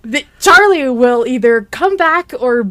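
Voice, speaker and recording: Excited lady podcast host, kinda high voice, gushy and emphatic, stumbles over her thoughts. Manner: gushing, emphatic, halting. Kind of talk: excited fan podcast chat.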